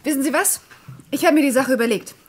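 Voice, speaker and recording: Woman acting a cool shrewd businesswoman in a radio crime drama, talks quick, composed, very matter-of-fact. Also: sits high, close to an everyday voice. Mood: brisk, commanding. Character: cool, shrewd, self-assured businesswoman with secrets.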